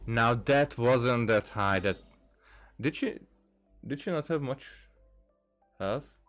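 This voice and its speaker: A man giving casual gameplay commentary, rambling and thinking aloud with halting phrases. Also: mid-range voice, animated, a bit bright. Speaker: gaming streamer. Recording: game music behind the voice. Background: video game music.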